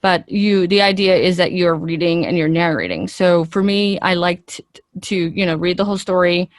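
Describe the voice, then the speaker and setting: Female interviewee, actress. Lower-leaning voice, very little room sound, earnest and chatty, works out answers as she talks. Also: moderate pace, no echo, studio quality.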